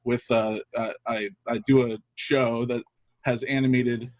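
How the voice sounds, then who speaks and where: A man's voice: mid-range voice, flat delivery, measured pace, a little mellow, no echo. A man in his early thirties, a podcast guest, speaking casually in a modest, hesitant way and often restarting his sentences. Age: 30s. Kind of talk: casual chat.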